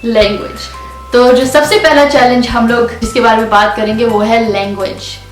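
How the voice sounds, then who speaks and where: A woman co-hosting a vlog, chatting informally to viewers in an expressive, emphatic way. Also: on the high side, racing. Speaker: video co-host. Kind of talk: casual chat addressed to viewers, switching languages. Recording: echoey room, distant mic, faint music.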